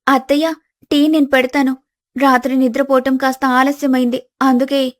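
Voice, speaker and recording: A woman voicing a gentle, innocent younger daughter-in-law, pleading and apologetic, in a village family story. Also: sits high, flat delivery, normal speed, no echo, clean studio sound, natural voice. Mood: defensive.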